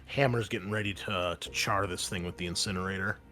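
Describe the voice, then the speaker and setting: A man's voice: low voice, steady tone, fairly quick, pushed and bright, soft background music. A man narrating a tabletop roleplaying game as game master in a casual, loose way. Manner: casual, profane.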